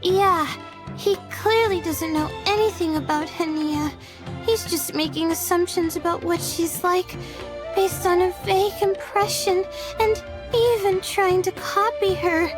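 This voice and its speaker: A woman voicing a gentle, modest, earnest teenage girl in a video game, slightly hesitant and friendly. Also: very high voice, fairly even intonation, measured pace. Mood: sympathetic, uneasy. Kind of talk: animated video game voice acting. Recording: music behind the voice.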